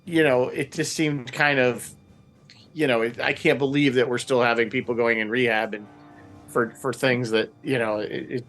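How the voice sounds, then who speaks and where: A man with a mid-pitched voice speaks as a panelist in casual discussion. He is halting and often restarts a phrase before finishing it. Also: fairly even intonation, fairly bright tone, soft background music. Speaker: roundtable panelist.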